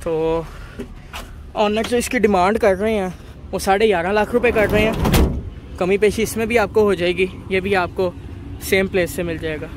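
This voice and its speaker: A man walking viewers around used cars at an outdoor bazaar, listing their features in a steady, repetitive way. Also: high voice, moderate pace, long pauses. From Pakistan. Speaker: car market video host. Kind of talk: walkaround car review for viewers. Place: outdoor car bazaar, dusty lot. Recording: outdoor market noise behind.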